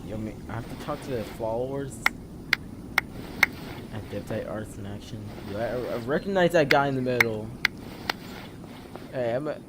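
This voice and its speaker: A man's voice: medium pitch, moderate pace, long pauses, very noisy. A man giving casual, offhand gameplay commentary as a streamer. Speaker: game streamer. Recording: loud game music behind the voice.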